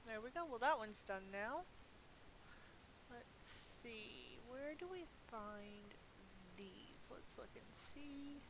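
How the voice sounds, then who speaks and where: A woman's voice: a little high, expressive, slow pace. A woman giving casual gameplay commentary, chatty and playful as she thinks aloud. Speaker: gaming video host. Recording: hissy recording with background noise.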